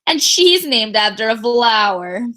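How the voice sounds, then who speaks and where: A woman's voice: fairly high pitch, sing-song, measured pace, bright, forceful tone, exaggerated voice. A woman voicing snobbish, teasing young schoolgirls in an emphatic storybook reading. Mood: mocking.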